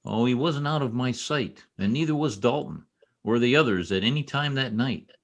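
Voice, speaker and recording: A young state trooper in an old-time radio play, acted by a man, gruff and protective. He speaks in a commanding, brusque way, and his mid-pitched voice moves widely up and down. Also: lively intonation, normal speed, natural voice. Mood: brusque, guarded. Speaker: amateur radio play actor. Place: home, over a video call.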